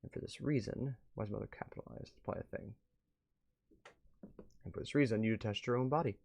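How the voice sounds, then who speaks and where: A man with a fairly low, gravelly voice is streaming a game. He reads text aloud in a measured way, then slips into casual, muttered asides to his viewers. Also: a little mellow. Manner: drifts between measured reading and offhand muttered commentary.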